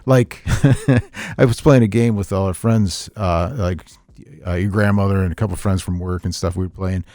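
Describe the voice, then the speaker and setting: Middle-aged man, lowish voice, dry close sound, casual rambling storyteller. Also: normal speed, no echo. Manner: animated storyteller, chuckles often, repeats himself. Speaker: podcast host, veteran tabletop gamer. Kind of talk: casual rambling podcast chat.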